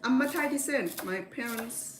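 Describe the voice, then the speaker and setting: A woman's voice: a little high, moderate pace. A woman from Cambodia chats casually as she cooks, rambling and correcting herself as she goes. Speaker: home cook hosting a livestream. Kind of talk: casual chat while cooking. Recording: echoey kitchen, clear background noise.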